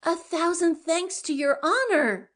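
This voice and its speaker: A woman's voice: extremely high pitch, a little slow, a bit bright. A woman playing a fussy, deferential housekeeper in a dramatized storybook reading, with an eager servant's manner.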